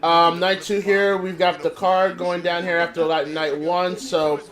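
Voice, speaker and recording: A man hosting a casual live reaction stream on a voice call, loud and teasing, swearing freely. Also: high voice, flat delivery, measured pace. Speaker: host.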